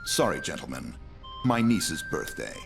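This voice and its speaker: Game politician: oily genial uncle act, gravelly, slow, hugely animated pitch. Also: male voice, music in the background, full character voice. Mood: falsely sweet. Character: genial, scheming male politician playing doting uncle. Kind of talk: video game cutscene acting.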